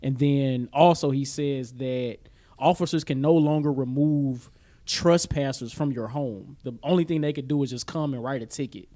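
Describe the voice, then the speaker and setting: A man co-hosting a podcast in casual chat, halting and questioning as he thinks aloud. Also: medium pitch, dark tone. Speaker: podcast co-host.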